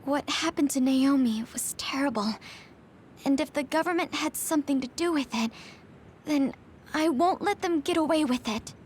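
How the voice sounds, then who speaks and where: A woman voicing a quiet, solemn young soldier in a video game, soft-spoken, with a breathy, hushed delivery. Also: high-pitched, moderate pace, a little hiss or hum, close to an everyday voice. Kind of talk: video game cutscene acting.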